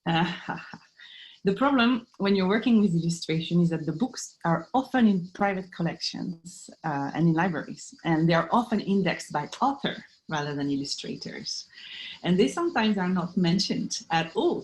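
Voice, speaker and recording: A woman with a fairly low voice speaks as an academic being interviewed. She explains her subject in a thoughtful, conversational way, building each answer step by step. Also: lively intonation, normal speed, poor audio. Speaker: professor of art and literature history, interviewee.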